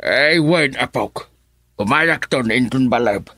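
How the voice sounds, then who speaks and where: A bright, forceful voice of an easygoing grandfather in a radio drama. He reassures at a middle pitch, close and dry. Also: animated, a little slow, no echo, faint music behind, exaggerated voice. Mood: easygoing, reassuring. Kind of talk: Ilocano radio drama acting.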